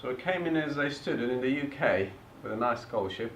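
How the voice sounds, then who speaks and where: A man's voice: mid-range voice, lively intonation, fast, fairly bright tone, a little hiss or hum. A young man with a Bulgarian accent giving a public talk about his own story, earnest, with some broken grammar. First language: Turkish. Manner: earnest, plain speech, sometimes broken grammar. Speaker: presenter. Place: hotel function room. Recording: far-off, echoey, dull room recording.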